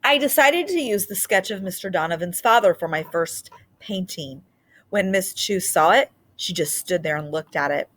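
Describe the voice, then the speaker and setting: A woman's voice: lowish voice, lively intonation, normal speed, fairly bright tone, a little hiss or hum. A woman reading a children's story aloud as a storybook narrator. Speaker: storybook reader.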